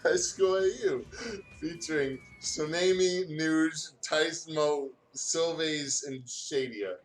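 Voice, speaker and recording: A man with a fairly high voice reads fan fiction aloud in a loose, joking way, tripping over words and prone to giggling. He slips easily between reading and casual banter, with faint music behind him. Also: slow, low-quality recording. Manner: giggly, goofy, often stumbling over what he reads. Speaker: host of a let's play channel.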